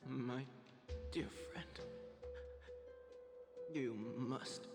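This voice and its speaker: Game role: loyal dying warrior, solemn, breathy, slow-spoken, cavernous echo. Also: male voice, medium pitch. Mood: solemn, fading. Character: dying male warrior, loyal friend to his king. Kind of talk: video game drama dubbing. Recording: echoey, long reverb, music behind. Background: music.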